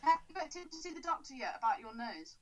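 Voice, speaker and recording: A woman's voice: high-pitched, big pitch swings, quick pace, highs cut off. A woman co-hosting a podcast in casual chat, with a blunt, informal, sweary way of talking. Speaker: podcast co-host.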